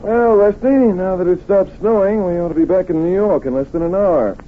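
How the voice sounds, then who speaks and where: An actor playing a calm, courteous, gallant detective in an old-time radio drama. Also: male voice, high voice, fairly quick, narrow, tinny audio, close to an everyday voice. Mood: easygoing. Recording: thin, noisy vintage radio recording.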